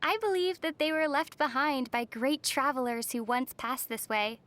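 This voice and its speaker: A young wandering adventurer girl from a fantasy video game. Her voice is high and youthful. She speaks softly and with composure, with a wistful temper and a dry, teasing edge. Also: normal speed. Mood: calm, reflective.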